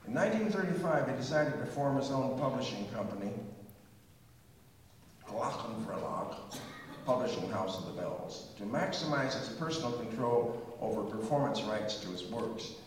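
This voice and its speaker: A man's voice: mid-range voice, lively intonation, normal speed, slight background noise. An older man giving a chatty, digressive music history talk before a concert, with asides and a few hummed bits of melody. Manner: chatty and digressive, sings snatches of tunes. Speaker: pre-concert speaker. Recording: echoey hall from far off.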